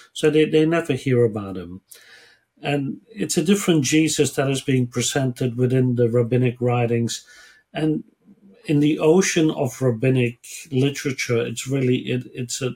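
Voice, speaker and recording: A man of about sixty from the Netherlands, a guest answering interview questions in a measured, earnest way and pausing to find his words. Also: medium pitch, darkish tone, slightly rough. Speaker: guest, ministry worker and lecturer. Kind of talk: interview answers, personal storytelling.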